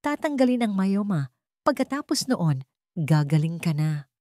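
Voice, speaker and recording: A woman's voice: mid-range voice, very animated, a little slow, warm tone, dry recording, studio quality. A woman narrator voicing a serious, firm, protective man with a commanding manner in an audio love drama. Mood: reassuring, firm. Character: grave, protective wealthy man, firm and threatening.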